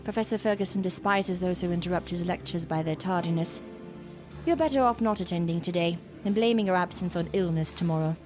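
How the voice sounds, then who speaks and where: A woman's voice: lowish voice, a little fast, close to an everyday voice. Eccentric gothic lady scientist acted by a woman, imperious, knowing, theatrical, music underneath. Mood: haughty, probing. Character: eccentric, imperious female gothic doctor, secretive researcher. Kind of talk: gothic audio drama acting.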